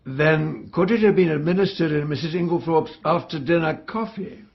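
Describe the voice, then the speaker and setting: An actor playing a methodical coroner who questions in a measured, official manner in a radio drama. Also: male voice, on the high side, vocal fry, dull audio.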